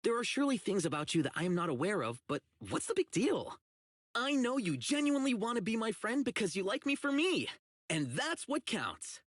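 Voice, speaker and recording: A man's voice: sits high, sing-song, a little fast, a bit bright, no room sound, studio quality, heavily acted. An actor playing a blunt, earnest young policeman who is socially oblivious, in video game voice lines. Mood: sincere, awkward. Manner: blunt, earnest, literal-minded. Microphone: close studio mic, isolated dry voice track.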